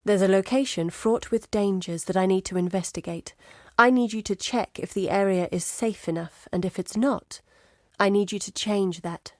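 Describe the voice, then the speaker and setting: A woman acting as a determined scholar-adventurer from a fantasy video game. She speaks at a mid pitch, articulate and businesslike, laying out missions in measured phrases. The recording is close and dry. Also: darkish tone, no room sound, natural voice. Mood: businesslike, inviting. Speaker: quest-giver character in an action RPG. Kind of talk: scripted game dialogue, briefing.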